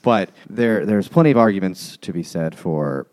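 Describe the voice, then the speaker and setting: A man's voice: deep voice, animated, normal speed, darkish tone. A man co-hosting a casual book and beer podcast, making wry, sardonic quips. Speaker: podcast co-host. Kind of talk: casual chat over beers.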